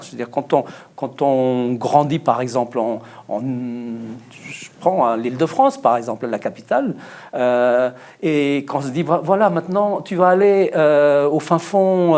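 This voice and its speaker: Man from Portugal, Bible study talk, thoughtful, pausing, hesitant fillers, middle-aged. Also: a little high, darkish tone. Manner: thoughtful, many pauses and fillers. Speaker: discussion participant.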